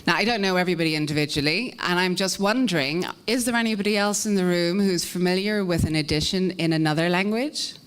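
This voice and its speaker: A woman's voice: lowish voice, fast talker, hardly any pauses, a bit bright, smooth. An Irish woman moderating a conference panel, introducing speakers in a friendly, self-deprecating way. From Ireland. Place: conference meeting room.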